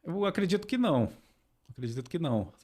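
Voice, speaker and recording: A man, a philosophy teacher calmly explaining ideas as a guest in a podcast interview, often ending phrases with a questioning tag. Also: a little high, fast.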